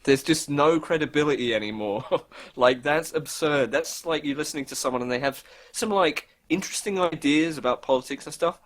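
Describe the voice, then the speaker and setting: A man's voice: on the high side, brisk, few pauses, fairly bright tone. A man co-hosting a show, making wry, mocking comments in casual comedic chat. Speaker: co-host of a weekly show.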